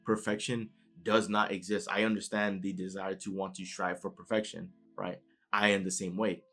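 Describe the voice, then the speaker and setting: A man with a New York accent speaks in a fairly low voice, talking straight to the listener in a relaxed, conversational way. He gives a motivational talk, tags his points with "right?", and faint music plays behind him. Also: moderate pace. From New York City. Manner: conversational, keeps adding "right?" tag questions.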